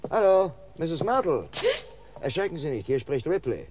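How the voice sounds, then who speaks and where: An actor playing a calm, reassuring police inspector in a German radio crime play, measured and methodical. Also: male voice, on the high side, highs cut off, a little hiss or hum.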